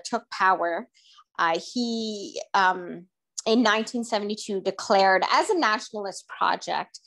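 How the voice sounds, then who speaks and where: A woman's voice: mid-range voice, a bit bright. A middle-aged woman from Tanzania presenting her research project to a group over a video call from her home kitchen, conversational, with many fillers and restarts.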